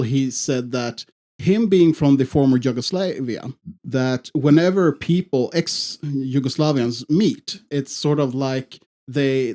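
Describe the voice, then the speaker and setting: A man hosting a podcast, explaining an idea in casual chat with many pauses and restarts. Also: medium pitch, warm tone. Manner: halting, with many fillers and restarts. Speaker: podcast host.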